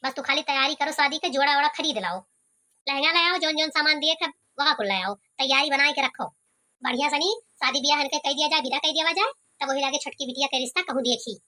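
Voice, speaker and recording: A woman's voice: high-pitched, fast, bright, forceful tone. A woman voicing a self-important, scheming village headman and father in a cartoon, with an Awadhi accent. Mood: scheming, bossy. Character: bossy, scheming village headman, older father of two daughters. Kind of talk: cartoon comedy voice acting.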